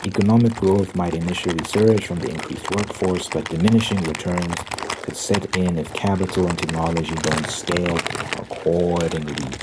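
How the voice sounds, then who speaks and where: A man hosting an ASMR ramble, giving opinions and reading aloud in deliberate phrases with pauses. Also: sits low, steady tone, heavy background noise. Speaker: host of an ASMR rambling channel.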